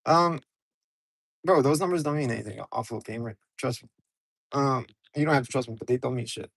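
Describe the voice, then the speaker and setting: Gravelly, slangy man streaming from car. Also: medium pitch, fairly quick, fairly bright tone, dry recording, rough recording. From Ukraine. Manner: loose and slangy, talks straight to viewers. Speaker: livestream host. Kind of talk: casual rambling livestream monologue. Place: inside his car. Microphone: phone.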